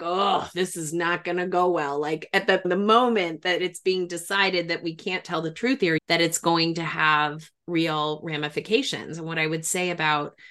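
Lowish-voiced woman, earnest podcast interviewer. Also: fairly bright tone. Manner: earnest, emphatic, explanatory. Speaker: podcast interviewer, grief professional. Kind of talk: reflective interview talk.

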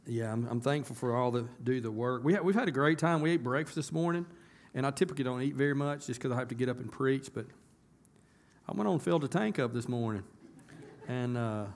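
A hoarse man, a pastor talking to his congregation in a casual, folksy way that turns emphatic. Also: mid-range voice, fast talker, a little hiss or hum. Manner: folksy, conversational, rising to emphatic. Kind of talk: casual church announcements and sermon. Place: church sanctuary.

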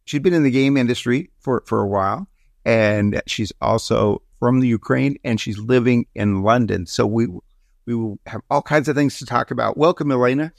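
A man hosting an interview podcast, talking in a halting way with many restarts and fillers. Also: mid-range voice, gravelly, dry recording. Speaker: podcast host.

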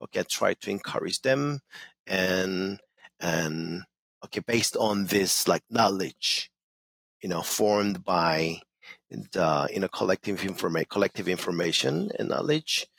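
Man with a gravelly low voice. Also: animated, a little slow, a bit bright, no echo. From Korean-American. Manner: earnest, hesitant, many fillers and restarts. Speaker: emcee and motivational speaker, guest. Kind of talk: radio interview, casual answers. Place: radio studio.

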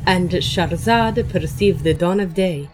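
A woman plays a formal Arabian Nights narrator in an audio drama. Her lowish voice is measured, with music clearly behind it. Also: natural voice, low-quality recording. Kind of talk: scripted storybook narration in archaic English.

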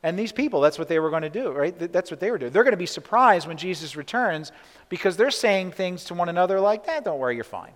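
A man's voice: high-pitched, animated, quick pace. Conversational male pastor, echoing hall. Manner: conversational, frequent "right?" asides and restarts. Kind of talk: sermon.

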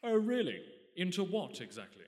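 Prying first officer, swooping intonation. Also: male voice, high-pitched, wildly swooping pitch, moderate pace, darkish tone. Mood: probing. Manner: polite, pointed, probing. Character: smooth, prying, suspicious ship's first officer. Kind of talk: comic radio play acting. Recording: echoey hall, live stage recording.

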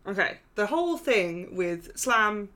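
A woman co-hosting a podcast from her flat, rambling through funny stories and laughing often. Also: mid-range voice, slow. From England. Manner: giggly, rambling storyteller. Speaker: podcast co-host. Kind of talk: casual chat. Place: home flat.

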